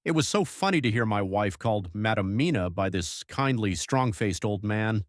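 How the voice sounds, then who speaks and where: A man acts out an earnest young husband narrating his journal for an audiobook. His voice is on the low side and his delivery is measured and literary. The recording is very dry. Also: animated, dry recording, lightly acted. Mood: warm recounting.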